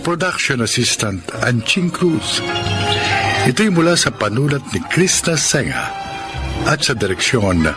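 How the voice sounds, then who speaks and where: A man's voice: medium pitch, very animated, measured pace. A man with a Filipino accent hosting a radio drama, reading narration and credits in a solemn announcer style. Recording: loud music under the voice.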